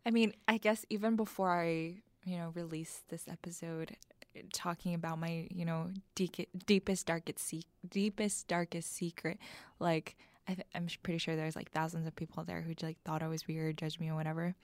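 A woman's voice: on the low side, little pitch movement, moderate pace, dry recording. A young woman hosting a personal podcast, talking about herself in a confiding narration style. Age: young adult. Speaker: podcast host.

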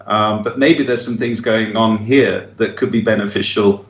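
A man's voice: on the low side, fairly even intonation, moderate pace. Earnest Englishman on a roomy, muffled line. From England. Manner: earnest, reflective, chuckles while talking. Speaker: missionary pastor. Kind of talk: remote interview.

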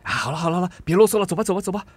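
A man's voice: high voice, animated, very fast, no echo. A man acting in a radio drama as an impatient, brusque grown son. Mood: impatient, hurried. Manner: impatient, sighing. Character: exasperated, brusque adult son of an old man.

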